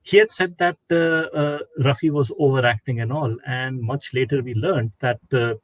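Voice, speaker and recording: A man's voice: medium pitch, normal speed, dry recording. A man hosting a casual panel discussion, telling anecdotes and putting questions to the guests. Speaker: host.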